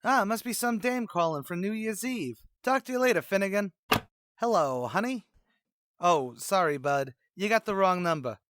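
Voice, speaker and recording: Brash, know-it-all tavern boss played by a man for old-time radio comedy; swooping, raised pitch, colloquial bluster. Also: animated, normal speed, background noise. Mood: brisk, colloquial. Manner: pompous, malapropism-prone, colloquial. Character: brash, self-important tavern manager mangling big words.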